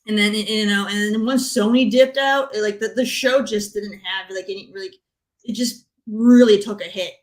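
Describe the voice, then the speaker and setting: A woman's voice: mid-range voice, fairly even intonation, normal speed. A woman speaking informally as a panelist on a gaming talk show, giving opinions in a blunt, emphatic way.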